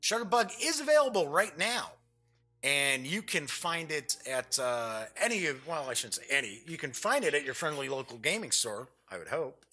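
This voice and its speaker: A man's voice: a little high, very animated, normal speed, pushed and bright, husky. A man hosting a board game show, giving casual unboxing commentary in a chatty way, thinking aloud as he goes.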